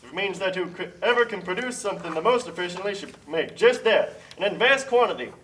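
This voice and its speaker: A man voicing a pompous puppet-show pitchman for free trade, lecturing smugly like a salesman. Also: expressive, fairly quick, a bit bright, distant mic, slight background noise. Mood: smug, promoting. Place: community TV studio.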